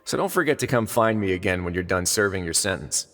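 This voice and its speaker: An actor playing a wry, composed prison warden in a video game, sardonic and politely formal. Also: male voice, low-pitched, animated, fast talker, a bit bright, big-room sound. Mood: courteous, businesslike. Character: wry, composed adult male prison warden with dry humour.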